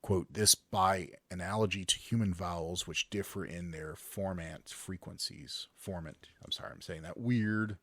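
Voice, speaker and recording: A man's voice: low voice, steady tone, normal speed, few pauses, dry recording. A man co-hosting a casual podcast, reading news stories aloud and joking around. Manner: jokey, breaks into loud silly voices.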